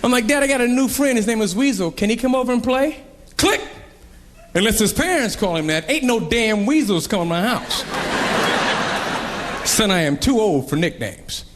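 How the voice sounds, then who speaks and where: A young man performing a stand-up comedy set on a club stage, telling animated stories and acting out characters. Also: sits high, moderate pace. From Columbus, Ohio. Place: comedy club stage before a laughing crowd. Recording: live club recording with crowd laughter and noise.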